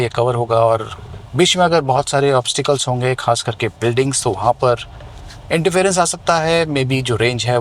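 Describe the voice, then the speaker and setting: A man with an Indian accent giving a conversational, explanatory hands-on product review outdoors. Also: mid-range voice. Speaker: tech reviewer and vlogger. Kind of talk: hands-on product review, mixing Hindi and English. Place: outdoors near residential buildings. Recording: noisy outdoor ambience.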